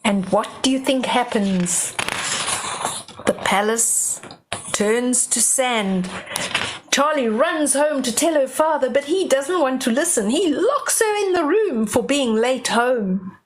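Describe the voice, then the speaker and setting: An older woman tells a children's story in a fairly high voice, taking her time. She reads dramatically and explains simply, with faint noise behind her. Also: lively intonation, slow pace. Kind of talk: storybook read-aloud with asides to young children.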